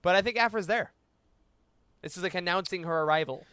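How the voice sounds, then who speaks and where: An American man talking casually as a panelist in a fan discussion, with an animated, joking, emphatic manner. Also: sits high, fairly quick, clean tone, dry recording. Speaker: podcast panelist.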